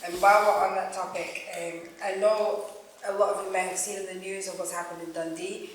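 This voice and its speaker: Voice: mid-range voice, little pitch movement, fairly quick, slight background noise. An organiser giving a solemn, earnest speech to a gathered group. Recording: echoey room from far off.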